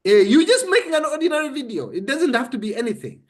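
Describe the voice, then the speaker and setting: Ugandan male, high-pitched for a man. Conversational coach, instructive and emphatic, checks the listener follows. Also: sing-song, fast talker. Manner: emphatic, instructive, checks understanding with short questions. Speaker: interview guest explaining a method.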